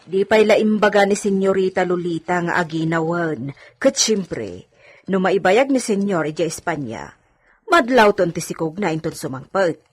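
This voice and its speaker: A woman playing a concerned, outspoken housemaid and confidante in a radio drama, with a slightly husky voice. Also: fairly low pitch, expressive, normal speed. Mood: worried, gossiping. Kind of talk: Ilocano radio drama acting.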